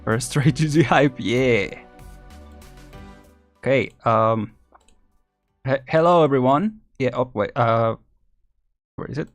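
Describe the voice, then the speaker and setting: A man's voice: mid-range voice, slow pace, long pauses. Rambling male game streamer over music. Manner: rambling, chuckling, thinking out loud. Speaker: live streamer. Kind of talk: casual live game-stream chat.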